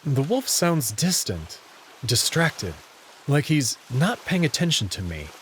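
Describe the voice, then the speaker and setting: A man's voice: medium pitch, sing-song, slow pace, a little hiss or hum. A man giving a dramatic first-person narration of a visual novel story. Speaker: narrator and reader.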